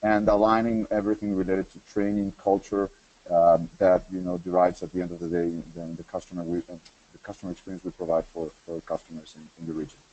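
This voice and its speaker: Deep, mellow businessman over a lo-fi line. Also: flat delivery, quick pace. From Mexico. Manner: businesslike, trailing off quietly at phrase ends. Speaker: airline customer experience executive. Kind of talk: panel self-introduction.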